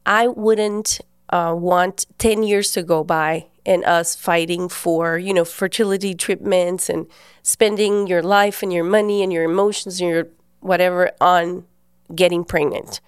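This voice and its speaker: A woman's voice: lowish voice, steady tone, unhurried, clear, resonant tone, no echo, clean studio sound. A woman, hosting a podcast and telling a personal faith story in an earnest, conversational way. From Guatemala. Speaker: podcast host.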